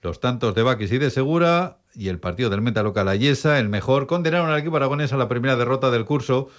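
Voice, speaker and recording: A man from rattles off a radio football report at high speed. His voice has an ordinary middle pitch and comes out as a dense, packed summary. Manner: rapid, dense match summary. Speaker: sports reporter. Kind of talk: scripted sports report.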